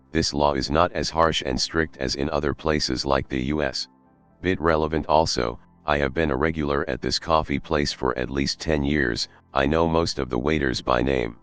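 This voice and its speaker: A man narrating a Reddit story in a steady, even read. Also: a bass voice, clear, resonant tone. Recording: faint background music.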